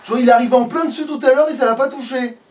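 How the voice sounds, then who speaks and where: A man's voice: very high voice, steady tone, rapid-fire, a crowd in the background. A man doing casual let's play commentary in a grumbling, sweary way. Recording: noisy, distant microphone.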